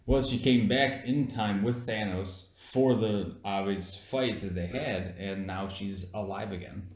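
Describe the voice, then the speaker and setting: A man's voice: lowish voice, a little slow, darkish tone, a little hiss or hum. A man in a casual chat as an amateur reviewer, explaining things insistently and with some swearing. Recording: roomy, echoing room sound.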